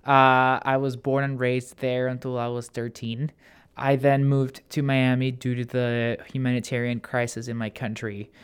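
A man's voice: mid-range voice, little pitch movement, normal speed, clear, resonant tone. A college student in his twenties from Venezuela, a native Spanish speaker, reflecting on his own story in a casual interview. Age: 20s.

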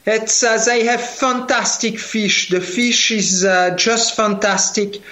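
A man's voice: high-pitched, fairly even intonation, takes it slowly, bright, forceful tone. A man with a French accent, speaking as a scientist guest in an interview, hesitating with many fillers and repeated words. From France. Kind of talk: remote interview, casual. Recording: echoey, dull lo-fi remote audio.

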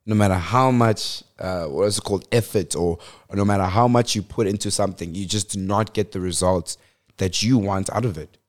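A man's voice: lowish voice, a little fast. A man in his twenties from South Africa, co-hosting a podcast in casual, slangy chat. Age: 20s. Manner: relaxed, slangy, laughs often. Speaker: podcast co-host.

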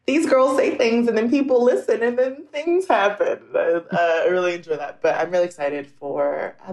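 A woman's voice: mid-range voice, vocal fry, darkish tone. A woman TV writer, a guest who chats in a rambling way full of fillers. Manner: rambling, lots of likes. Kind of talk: casual chat. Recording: echoey room.

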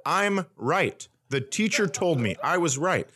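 A man's voice: on the high side, lively intonation, a little slow, a bit bright. A man in his forties, a comedian and podcast host, telling stories casually and acting out the dialogue with mock bravado. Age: 40s. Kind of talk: casual comic storytelling.

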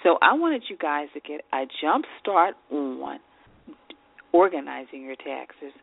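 A woman's voice: low voice, big pitch swings, unhurried, vocal fry, no echo. A woman hosting an advice podcast, sounding congested and nasal, reading off lists of tips with casual fillers. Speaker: podcast host. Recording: tinny phone-like line.

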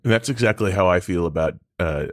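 A man's voice: sits low, a little fast, no echo, studio quality. A man making sarcastic, casual comedic banter as a podcast co-host.

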